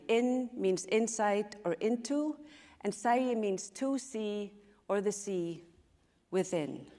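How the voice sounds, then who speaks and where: An Icelandic woman, speaking English as a second language, gives a reflective talk on stage, pausing often as she thinks. Her voice sits at a middle pitch. It carries through a large hall with a long reverb. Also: a little mellow. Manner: reflective, measured, with pauses and fillers. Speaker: conference speaker. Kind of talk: live design talk.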